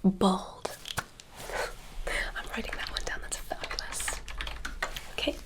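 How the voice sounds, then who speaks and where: A woman doing a whispered ASMR roleplay as an alien examiner, counting slowly and carefully with a playful, teasing bedside manner. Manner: soft unvoiced whisper, slow careful counting. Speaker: ASMR creator.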